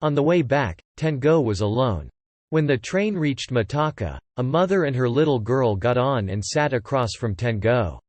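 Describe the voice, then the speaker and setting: Male narrator, medium pitch, measured storytelling, scripted audiobook reading, very little room sound. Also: darkish tone, no echo.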